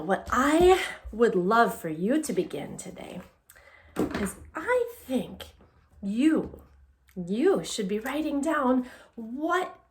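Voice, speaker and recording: A woman teacher reading a picture book aloud to young children, encouraging and deliberate, putting questions straight to her listeners. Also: fairly high pitch. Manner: encouraging, asks questions and answers them.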